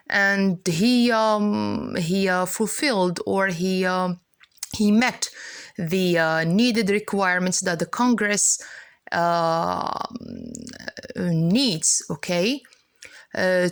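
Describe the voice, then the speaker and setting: Female teacher, Moroccan accent, native Arabic speaker. Mid-pitched, didactic explainer delivery in a dry recording. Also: no echo. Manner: didactic, repeats and checks with "okay". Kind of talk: explanatory lesson for students.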